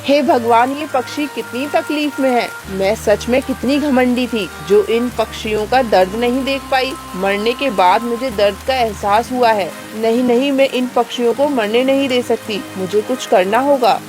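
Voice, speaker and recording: A woman voicing a proud female bird in a children's animated moral story, speaking in a dramatic, declamatory way. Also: on the high side, normal speed, hardly any pauses, music in the background, lightly acted. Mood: remorseful. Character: haughty, selfish female crow, later a remorseful heron.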